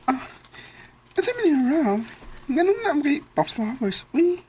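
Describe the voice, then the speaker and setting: A woman's voice: high-pitched, very animated, unhurried, a little mellow, a little hiss or hum. A woman playing a young transgender woman in love, tender and easily distressed, in a Bisaya radio drama with a Cebuano Filipino accent. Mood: happy, smitten.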